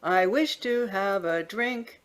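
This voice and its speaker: Brief: woman participant, hesitant, laughs at herself, slow delivery. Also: mid-range voice, smooth. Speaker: workshop participant.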